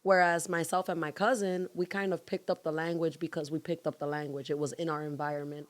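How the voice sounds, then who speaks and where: A woman co-hosting a casual podcast, direct and a little teasing as she probes her guest. Her voice sits lower than most women's. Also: flat delivery, fairly quick. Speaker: podcast co-host.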